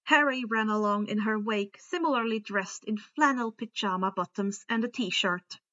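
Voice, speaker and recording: A woman's voice: mid-range voice, moderate pace, sharp tone, muffled recording. A woman narrating a story aloud, now and then stumbling and rereading words. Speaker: fan fiction reader. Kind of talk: story narration with character dialogue.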